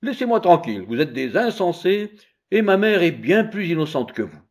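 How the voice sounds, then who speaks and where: A man voicing an uncanny infant boy who speaks with calm, bold defiance. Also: high voice, moderate pace, pitched above the performer's own voice, heavily acted. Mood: scornful. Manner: bold, scolding.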